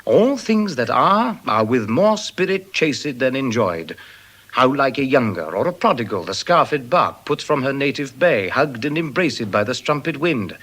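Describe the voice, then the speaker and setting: Swooping, witty gentleman. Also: mid-range voice, sing-song, a little slow, low-quality recording. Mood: wry, expansive. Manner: rhetorical, flourishing. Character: witty, worldly, talkative gentleman of Venice. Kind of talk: classical Shakespearean verse acting.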